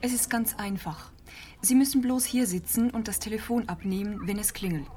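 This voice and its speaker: An actress playing a brisk, officious young woman at a private clinic, giving curt instructions in a radio play. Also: mid-range voice, mellow, lightly acted. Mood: businesslike.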